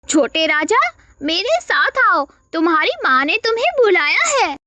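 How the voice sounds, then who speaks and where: A woman's voice: very high-pitched, very animated, a little slow, pushed and bright, voice pushed up, heavily acted. A woman voicing a cunning, scheming female fox who sweet-talks like an auntie in a cartoon story. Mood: sly, coaxing. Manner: coaxing, sly.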